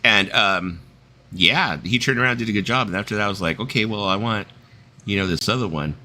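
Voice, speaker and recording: A man's voice: on the low side, fairly quick, bright, forceful tone. A man in his fifties hosting a casual stream, telling loose, rambling stories with some swearing. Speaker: stream host. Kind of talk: casual chat.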